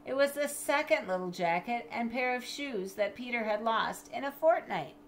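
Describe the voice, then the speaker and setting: A middle-aged woman reading a children's storybook aloud in an expressive, measured way, as if to young listeners. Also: fairly high pitch, slight background noise. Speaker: storybook reader. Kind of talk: children's read-aloud with chatty asides. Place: indoors in a home room with bookshelves.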